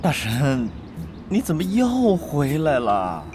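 An actor playing an earnest, easily flustered guild leader in an online game, stammering and exasperated, in a Chinese radio drama. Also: male voice, fairly high pitch, huge pitch swings, slow pace, noisy background, full character voice. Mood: alarmed, exasperated.

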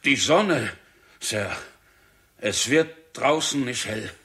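An actor in a vintage German radio play, playing a simple, deferential gardener who talks in a folksy, hesitant, repetitive way. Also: male voice, mid-range voice, lively intonation, takes it slowly, sharp tone. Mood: bewildered, anxious. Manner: folksy, hesitant, repeats himself. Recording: noisy vintage radio recording with clicks.

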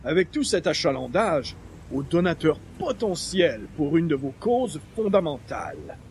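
An actor playing a courteous, bookish newspaper columnist in a radio comedy, formal and wordy. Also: male voice, on the high side, sing-song, measured pace, darkish tone, slight background noise. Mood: earnest, courteous. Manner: formal, over-polite, wordy. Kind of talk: old-time radio comedy acting.

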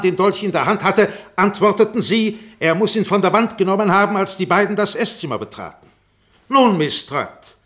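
An actor playing a shrewd, relentless defence barrister in a German radio play, formal and insistent. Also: male voice, high voice, fairly bright tone. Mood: stern, probing. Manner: formal, insistent, rhetorical repetition.

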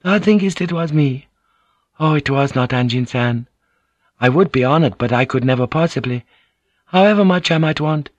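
A man voicing a gentle, composed Japanese noblewoman in an audiobook, speaking in courteous, archaic phrasing. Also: a little high, moderate pace, dry recording, dull audio, pitched above the performer's own voice, lightly acted. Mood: placating, composed.

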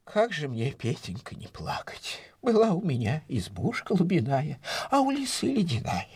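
A woman voicing a small, timid, tearful hare with a whimpering, drawn-out delivery in a children's fairy-tale audio play. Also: sits low, huge pitch swings, darkish tone, breathy edge, no room sound, heavily acted.

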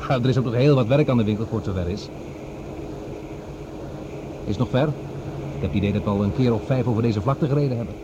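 A man's voice: mid-range voice, brisk, pauses often, lightly acted. Man acting commanding Mars scientist, muffled noisy recording. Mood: calm, curious. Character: commanding male doctor-scientist on Mars, visionary, stubborn. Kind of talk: Dutch radio drama acting. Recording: dull noisy old radio recording.

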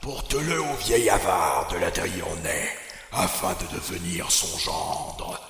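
Gravelly, cavernous Devil. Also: male voice, low voice, a bit bright, pitched below the performer's own voice. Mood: menacing, tempting. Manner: slow, deliberate, imperious delivery. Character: the Devil, commanding, menacing, tempting, in a cave. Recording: cavernous long echo, music underneath.

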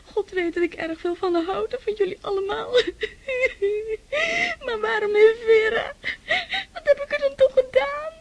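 A tearful young girl in a period radio drama. Her voice is extremely high, broken by crying. She sounds hurt and hopelessly in love. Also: normal speed, long pauses. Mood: tearful, anguished. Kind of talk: radio play acting.

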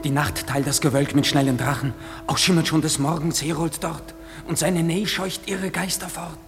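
A man's voice: fairly high pitch, fairly even intonation, normal speed, close to an everyday voice. An actor playing an urgent spirit servant, declaiming verse to the fairy king in a radio play. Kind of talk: classic German radio play acting. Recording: distant, reverberant, music underneath.

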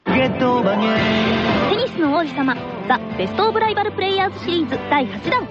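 A woman's voice: very high-pitched, very animated, fast talker. A woman announcer reading a scripted promotional radio advert. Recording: muffled radio advert with music behind. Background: music.

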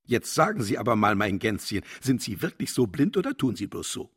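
An actor playing a cynical, condescending older mentor in a radio play, with a husky voice and a mocking, patronizing manner. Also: male voice, on the low side, sing-song, fairly quick, heavily acted. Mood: cutting, sardonic.

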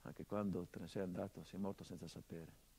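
An actor playing a middle-aged son who mourns his murdered father, in a theatrical monologue that swings from hushed murmurs to impassioned outbursts, sardonic and biting. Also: sits low, fairly even intonation, a little fast, slightly rough. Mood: bitter. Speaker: stage actor.

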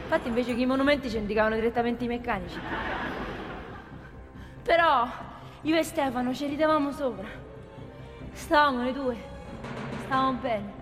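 A woman's voice: high voice, normal speed, long pauses, in a large hall, over music, lightly acted. An actress playing a plain-spoken, desperate working-class woman with a Roman accent in a theatrical monologue. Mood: wistful, resigned. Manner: plain-spoken, ungrammatical, earthy. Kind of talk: theatrical monologue in colloquial dialect.

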